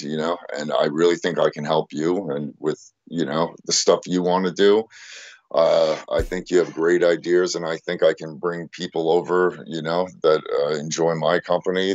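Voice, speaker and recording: A man with a bass-tenor voice co-hosting a podcast, talking casually and confidently and often adding "you know what I mean". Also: a little mellow, no echo, highs cut off. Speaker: podcast co-host and streamer. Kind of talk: casual chat.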